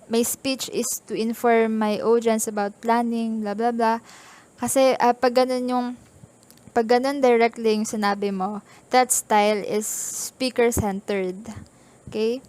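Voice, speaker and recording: A woman's voice: fairly high pitch, a little mellow, clean tone. A woman with a Filipino accent lecturing as a teacher, explaining step by step in an instructional way with frequent check-ins. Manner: instructional, mixes English and Tagalog, frequent check-in tags.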